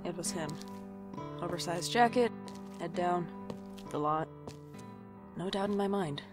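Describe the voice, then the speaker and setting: A woman's voice: fairly low pitch, a little slow, close to an everyday voice. A woman playing a wry, jumpy night-shift shop worker who narrates an audio drama in a dry, sardonic way. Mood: brooding narration. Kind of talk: audio drama acting with first-person noir narration. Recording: music bed behind the voice.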